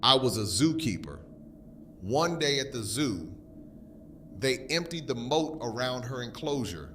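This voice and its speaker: A man's voice: medium pitch, bright, forceful tone, a little hiss or hum, full character voice. A man playing a grave, grief-stricken fallen king who blames himself, speaking theatrically in an audio drama. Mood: mournful. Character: grief-stricken, self-blaming fallen king, formerly a zookeeper.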